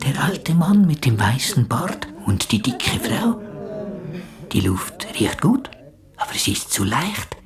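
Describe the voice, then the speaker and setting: An actor playing a frightened, confused male psychiatric patient, halting and whispery, in a German radio play. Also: medium pitch, big pitch swings. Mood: frightened, dreamy. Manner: halting, whispery, speaks of himself in third person.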